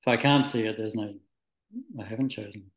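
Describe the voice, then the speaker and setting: A man from Scotland who moved to New Zealand as a child, speaking reflectively and haltingly as an interview guest, searching for words. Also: lowish voice, steady tone. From Scotland, moved to New Zealand at nine. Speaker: interview guest, spiritual practitioner. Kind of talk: reflective, conversational interview answers. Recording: echoey room.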